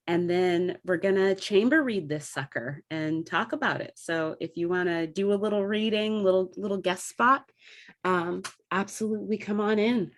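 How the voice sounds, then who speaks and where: A woman speaking in an enthusiastic, conversational way as a guest theatre artist in a casual panel discussion. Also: medium pitch.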